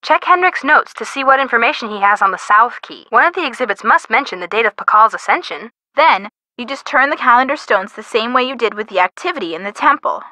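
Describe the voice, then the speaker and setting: Bright, high-voiced helpful game sidekick. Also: female voice, lively intonation, quick pace, no room sound. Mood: helpful, nudging. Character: helpful, nudging female hint-giver in a mystery game. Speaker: game character giving hints to the player. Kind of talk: scripted video game dialogue.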